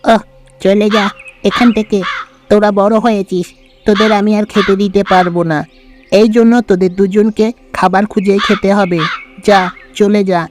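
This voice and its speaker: Male actor as stern crow dad, high-pitched, scolding, cawing, cartoon style, faint music. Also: flat delivery, moderate pace, close to an everyday voice. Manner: scolding, cawing between sentences. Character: stern crow father turning out his young.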